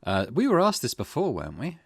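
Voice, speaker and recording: A man's voice: medium pitch, huge pitch swings, quick pace, vocal fry, husky, dry recording. A man hosting a gaming channel, reading a visual novel's text aloud and adding casual asides. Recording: game music playing behind.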